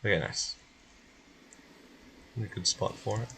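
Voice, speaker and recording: Male game streamer, lowish voice, off-the-cuff playthrough talk over game music. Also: slow pace, noisy background. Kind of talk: casual live playthrough chat.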